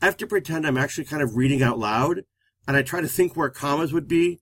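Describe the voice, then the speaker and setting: A man with a loud voice who talks fast, co-hosting a live-streamed relationship talk show. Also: fairly high pitch, breathy edge, dry recording. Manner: fast-talking, energetic.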